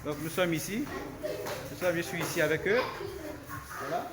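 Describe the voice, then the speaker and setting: A man coaching self-defense, speaking casually and haltingly to his followers from a karate dojo and repeating words as he goes. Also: fairly high pitch. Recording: loud crowd noise behind. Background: crowd of young students.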